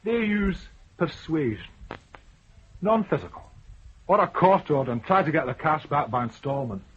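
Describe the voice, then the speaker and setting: A man's voice: on the high side, animated, moderate pace, natural voice. A man playing a wary, evasive moneylender, curt and defensive, in a radio crime drama. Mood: guarded. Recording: dull, noisy old radio broadcast recording.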